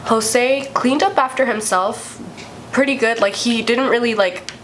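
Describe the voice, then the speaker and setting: A woman's voice: fairly high pitch, a little slow, fairly bright tone, slight background noise. A young woman vlogger with a congested, stuffy voice, chatting candidly and swearing freely as she tells a story. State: congested from a cold. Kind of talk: casual storytime vlog.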